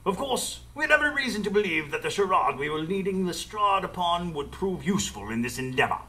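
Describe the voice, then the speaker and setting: An actor playing an earnest, formal gentleman sidekick, narrating theatrically in a radio play. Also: high-pitched, very animated, a little fast, full character voice, rough recording.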